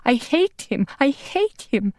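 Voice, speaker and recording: A woman's voice: a little high, a little slow, warm tone, no echo, voice pushed up, lightly acted. A woman voicing a tearful young woman who speaks in a shaky, raised voice. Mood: distraught. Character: tearful young woman freed from a villain's control.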